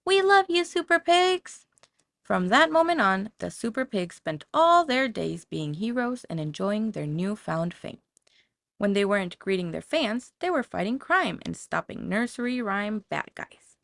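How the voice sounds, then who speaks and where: A woman narrating a children's story from a script in a dramatic, expressive storytelling manner. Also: mid-range voice, unhurried, creaky, no echo. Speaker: audiobook narrator.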